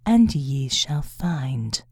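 Lady doing an ancient angel woman, dry n composed, lowered voice, cryptic, audiobook. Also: sing-song, darkish tone, breathy edge, no echo, full character voice. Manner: dry, measured. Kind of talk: audiobook character voice.

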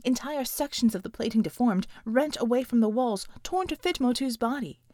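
A woman with a fairly high voice narrates dramatic fiction. It is a scripted audiobook read, recorded dry with little room sound. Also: normal speed, mellow, no echo.

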